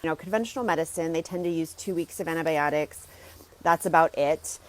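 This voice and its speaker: A woman's voice: deep voice, a little fast. A woman giving an informal, plain-spoken explanatory talk as a health coach on a live video. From Germany and the United States. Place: outdoors, sitting outside.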